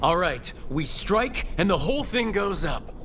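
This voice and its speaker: An actor playing a resolute, caring young hero in a video game, direct and lightly wry. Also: male voice, high-pitched, big pitch swings, unhurried, a bit bright, slight background noise, full character voice. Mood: urgent. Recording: clear music behind the voice.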